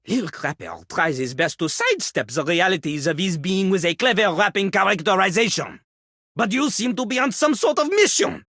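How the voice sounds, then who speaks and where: A woman voicing a cocky, theatrical male French rapping toilet in a video game, husky and pompous, with a French accent. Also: mid-range voice, animated, moderate pace, a bit bright, dry recording, studio quality. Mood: weary, wounded pride. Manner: pompous, talks of himself in third person. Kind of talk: video game character acting with rapped verses.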